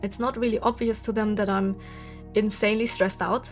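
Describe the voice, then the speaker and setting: A woman's voice: mid-range voice, fairly even intonation, moderate pace. Woman, 30s, casual vlog monologue, venting, swearing, faint music behind. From Germany. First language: German. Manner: candid, venting, swears freely, laughs often. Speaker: vlogger.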